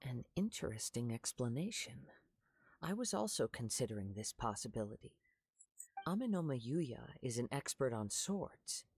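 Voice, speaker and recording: An actor playing a calm, thoughtful young swordsman in a video game, with a soft, measured, formal manner. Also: mid-range voice, slightly rough, no room sound. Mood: pondering. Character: calm, thoughtful young male wandering swordsman, polite investigator. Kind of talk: scripted video game dialogue.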